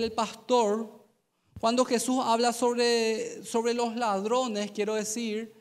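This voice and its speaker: A Paraguayan man in his thirties preaches at a youth service, his voice pitched very high for a man. He tells the story in a chatty way and acts out the dialogue. His handheld mic carries a long reverb from a large auditorium stage. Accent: Paraguayan Spanish. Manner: conversational storytelling, acting out dialogue. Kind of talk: sermon.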